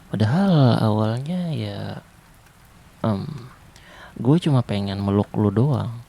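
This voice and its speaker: A young man doing a soft, intimate, teasing ASMR boyfriend roleplay. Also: fairly low pitch, creaky.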